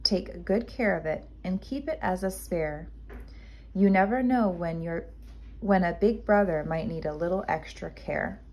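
Woman as a gentle, unhurried storybook mother raccoon. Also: lowish voice, highs cut off. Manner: soothing, reassuring, patient. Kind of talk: children's storybook read-aloud.

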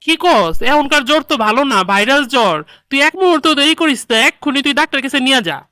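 A woman's voice: sits high, expressive, quick pace, bright, forceful tone, no echo. A woman voicing a bossy, fussing grandmother in a comedy cartoon, with a Bangladeshi accent and a commanding, insistent manner. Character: bossy, fussing grandmother, doting mother-in-law. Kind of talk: comedy cartoon dialogue in colloquial dialect.

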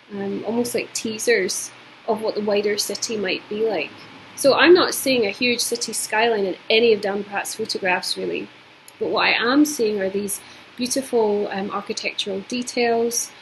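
A woman in her forties from Scotland with a Scottish accent, giving a measured, reflective recorded art lesson from home, with frequent ums. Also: medium pitch, slight background noise, low-quality recording. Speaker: art teacher. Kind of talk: recorded video lecture. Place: indoors at home.

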